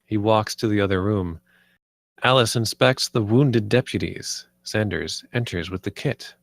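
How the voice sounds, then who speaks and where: A man's voice: lowish voice, a little slow, vocal fry, dry recording, clean studio sound. A man reading stage directions as narrator at a screenplay table read.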